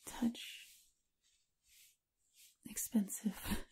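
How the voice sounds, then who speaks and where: A woman playing a doting, teasing, refined vampire mistress in an intimate ASMR roleplay, whispery and husky. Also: extremely slow, a little mellow. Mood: wry, refined.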